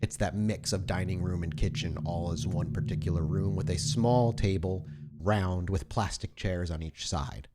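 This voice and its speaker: A man narrating a tabletop horror role-playing game as game master, setting scenes in descriptive, deliberate detail. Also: sits low, heavy background noise. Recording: music playing under the voice. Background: music.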